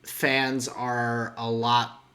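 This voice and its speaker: A man's voice: medium pitch, little pitch movement, very slow, bright, forceful tone. A young man co-hosting a podcast, talking in a loose, rambling way with frequent restarts. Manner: rambling, restarts often, guesstimates. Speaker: podcast co-host. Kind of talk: casual chat.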